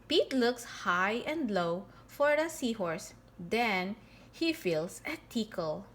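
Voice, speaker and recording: A woman reading a picture book aloud to young children in an expressive style, with theatrical emphasis on key words. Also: medium pitch, slow pace, a little hiss or hum. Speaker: children's storybook reader.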